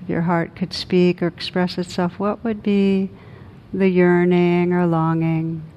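A woman meditation teacher leading a guided meditation in a gentle, soothing manner with long pauses. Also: lowish voice, level tone, lo-fi sound, slight background noise.

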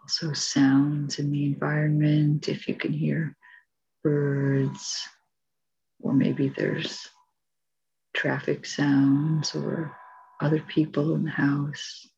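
A woman teaching meditation, giving calm, gentle spoken guidance with long pauses between phrases. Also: low-pitched, creaky, slightly rough, muffled recording. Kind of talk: guided meditation, soft spoken instruction.

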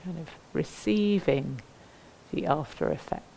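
Female meditation and movement teacher, low voice, gentle and unhurried guidance, leaves space between phrases. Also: vocal fry, slight background noise, poor audio. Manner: gentle, unhurried, inviting, with pauses. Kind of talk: guided mindful movement instruction.

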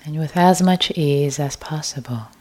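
A woman teacher guiding a meditation, speaking softly and gently with long pauses, sometimes sinking into a whisper. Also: low-pitched. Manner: slow, soft, gentle guidance with long pauses; drifts into an unvoiced whisper at times. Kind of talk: guided meditation.

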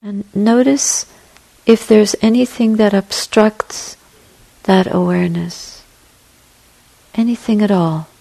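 A woman meditation teacher leading a guided meditation in a soft, breathy, gentle voice, unhurried and with long pauses. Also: medium pitch, steady tone, poor audio.

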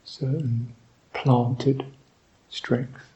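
A man guiding a meditation as a Buddhist teacher, speaking reflectively in short, halting phrases with long pauses. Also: mid-range voice, little pitch movement, mellow, lo-fi sound. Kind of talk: guided meditation, unscripted and reflective.

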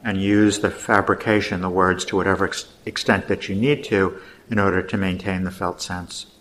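Male meditation teacher with a lowish voice, leading guided practice gently, leaving pauses between instructions. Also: level tone, a little hiss or hum.

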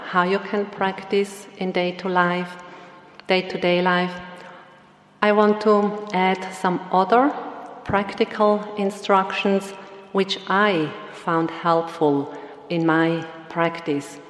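Woman meditation teacher, unhurried and gentle, recorded in a roomy meditation hall with long reverb. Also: lowish voice, little pitch movement. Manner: slow, gentle instructional delivery. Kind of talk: Dhamma talk.

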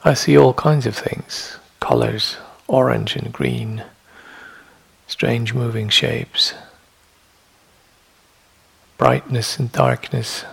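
Guy leading a guided meditation, really husky n raspy, lots of gravel, measured short phrases w long gaps. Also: mid-range voice, fairly even intonation. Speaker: meditation teacher. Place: meditation hall.

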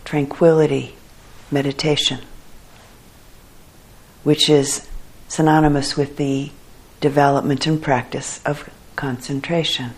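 A woman leading a guided meditation for a group as their teacher, speaking in a calm, measured way with long pauses. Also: low-pitched, breathy edge, low-quality recording.

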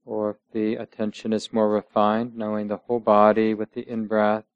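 A man teaching meditation, guiding listeners gently in measured short phrases with long pauses between them. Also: on the low side, completely flat delivery, clean tone, dry recording, dull audio. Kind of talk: guided meditation instruction.

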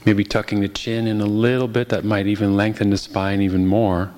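Low-pitched man guiding a meditation. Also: flat delivery. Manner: gentle, unhurried, with long pauses. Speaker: meditation teacher. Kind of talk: guided meditation.

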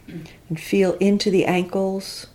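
A woman teacher leading a guided body scan meditation, speaking in gentle, reflective, unhurried instructions with long pauses. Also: medium pitch, fairly even intonation, rough recording.

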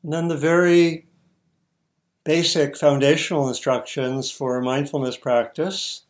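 Slow-paced man guiding a meditation. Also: on the high side, fairly even intonation. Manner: measured, unhurried, with long pauses between short phrases. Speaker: meditation teacher. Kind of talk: guided meditation instruction.

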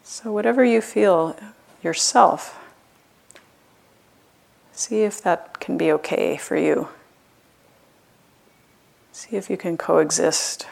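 A woman guides a meditation in a fairly low voice with a pronounced creak. Her delivery is gentle and unhurried. She leaves long pauses between short phrases, as a teacher leading a practice. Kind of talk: guided loving-kindness meditation.

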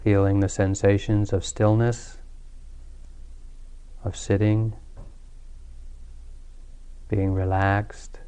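Guy doing guided meditation, calm and unhurried, low smooth voice, very even tone. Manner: calm, unhurried, soothing delivery with long pauses. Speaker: meditation teacher.

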